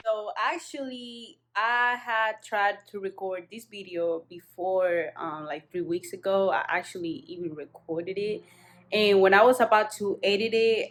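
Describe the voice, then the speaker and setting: A young woman from southern Spain, a native Spanish speaker, telling a personal story in frank, slangy, casual English with some swearing, recorded in an apartment. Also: medium pitch. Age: young adult. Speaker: vlogger. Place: apartment kitchen-living room.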